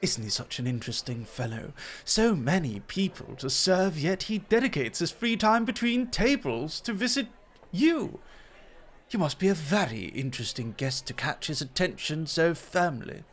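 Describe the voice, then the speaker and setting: Man playing a sly, high-voiced dog boy host. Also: lively intonation, moderate pace. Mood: smug, teasing. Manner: theatrical, silky politeness with teasing jabs. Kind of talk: ASMR roleplay acting addressed to the listener.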